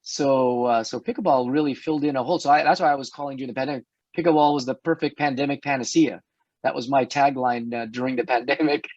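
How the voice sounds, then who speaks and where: A middle-aged man hosting an online health talk, chatty and hoarse, often restarting his words and laughing. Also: medium pitch, fairly even intonation, fast talker, darkish tone, dry recording. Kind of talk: casual panel chat. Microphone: video call. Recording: dull dry video-call audio.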